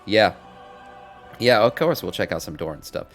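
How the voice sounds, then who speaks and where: A man's voice: deep voice, expressive, moderate pace, a bit bright. A middle-aged man hosting a gaming news stream, casually reading out updates with informal, mildly sweary asides to viewers. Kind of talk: casual livestream commentary with some reading aloud. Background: background music.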